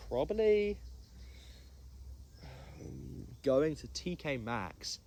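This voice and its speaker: A young man from England, nasal from hay fever, hosting a casual solo podcast and rambling with self-deprecating asides and many pauses. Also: medium pitch, darkish tone, slight background noise. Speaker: podcast host.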